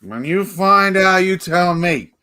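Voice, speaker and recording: An actor playing a drunken, grumbling department-store parade Santa in a radio play, tipsy and defiant. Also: male voice, sits high, expressive, slow pace, sharp tone, smooth, heavily acted. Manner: tipsy, sing-song, grumbling. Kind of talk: 1940s-style radio play acting.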